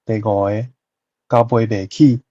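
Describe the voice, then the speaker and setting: A Taiwanese man reads phrases very deliberately in a husky, dark voice. He goes slowly, in a dry, close recording. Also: on the low side, fairly even intonation, no room sound, highs cut off. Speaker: Taiwanese language teacher. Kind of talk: slow, deliberate reading of translations.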